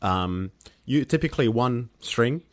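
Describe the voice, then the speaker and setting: A man's voice: medium pitch, animated, unhurried. A man whose first language is Serbian, a musician chatting as a guest, rambling with many fillers and restarts. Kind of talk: casual interview chat.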